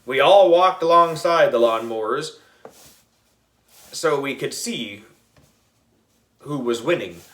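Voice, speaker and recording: Male children's audiobook reader: playful and exaggerated, very lively pitch, bright delivery. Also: slow pace, pauses often. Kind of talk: animated children's story narration.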